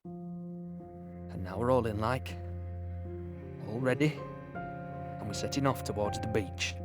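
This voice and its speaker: A man playing a young soldier in an audio play, telling his war story in a conversational, excitable, unbothered way. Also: medium pitch, slow pace, over music. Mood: tense anticipation. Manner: conversational, storytelling with repeated "you know". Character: young British soldier recounting D-Day, excited, chatty and unbothered. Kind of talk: dramatic first-person monologue in audio play.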